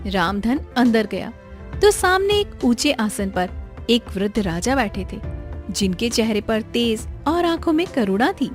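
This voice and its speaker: A woman narrates a storybook-style cartoon tale. Her voice sits fairly high, and clear background music plays beneath the scripted lines. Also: sing-song, unhurried.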